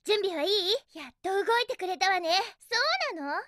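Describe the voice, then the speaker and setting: A woman voicing a feisty, bossy but caring young girl hedgehog in a video game, with energetic, girlish delivery. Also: very high voice, moderate pace, no room sound. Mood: thoughtful, chatty. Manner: energetic, girlish, emphatic feminine phrasing. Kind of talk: Japanese video game character voice acting.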